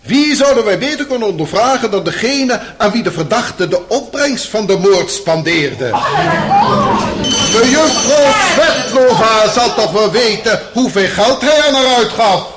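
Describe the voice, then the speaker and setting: An actor playing a stern, scornful Russian public prosecutor in a radio play, formal and insistent. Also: male voice, high voice, animated, measured pace, fairly bright tone. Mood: stern questioning. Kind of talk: radio play courtroom acting.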